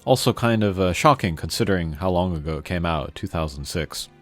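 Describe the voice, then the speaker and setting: A man's voice: sits low, animated, fairly quick. A man casually commentating as he plays a video game, rambling and opinionated in an informal way. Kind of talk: casual let's-play commentary. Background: faint game music.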